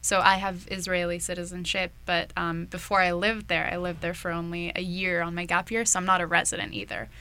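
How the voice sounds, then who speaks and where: A woman's voice: fairly low pitch, level tone, fairly quick, hardly any pauses, pushed and bright, clear, resonant tone. A young adult woman hosting a radio interview show. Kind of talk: scripted intro, then conversational interview. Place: dry radio studio.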